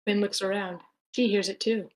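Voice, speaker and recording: A woman's voice: mid-range voice, steady tone, moderate pace. A woman reading stage directions in a matter-of-fact way at a screenplay table read.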